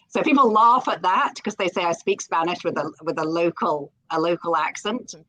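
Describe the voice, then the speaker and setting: A woman's voice: lowish voice, moderate pace, fairly bright tone, rough recording. A middle-aged Scottish woman speaking as a guest in a live interview, enthusiastic and often repeating words as she starts a sentence. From Scotland.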